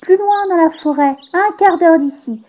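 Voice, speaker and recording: A woman voicing a sweet, obedient little girl in a storybook tale, high and eager. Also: normal speed, dull audio. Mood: cheerful. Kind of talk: storybook character voice.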